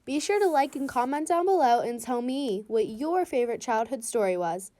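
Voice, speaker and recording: A woman's voice: a little high, animated, normal speed, warm tone. Woman reading a picture book aloud. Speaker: children's book reader. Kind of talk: storybook read-aloud for kids.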